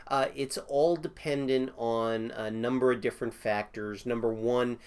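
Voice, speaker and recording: A man's voice: fairly low pitch, clear, resonant tone. A man getting over a cold, now and then coughing, hosting a casual question-and-answer video about insurance as an agent, thinking aloud with many fillers. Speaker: Medicare insurance agent host.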